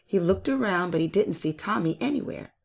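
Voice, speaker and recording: A woman hosting and narrating a children's read-aloud story with expressive, emphatic delivery. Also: medium pitch, a little fast, vocal fry, breathy edge. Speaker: storyteller and channel host.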